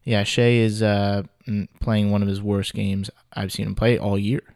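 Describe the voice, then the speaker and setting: Dry-sounding young man, low teasing banter. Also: level tone, gravelly, a little mellow, no echo. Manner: teasing, dry banter, drawn-out emphatic delivery. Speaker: podcast co-host. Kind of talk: casual chat.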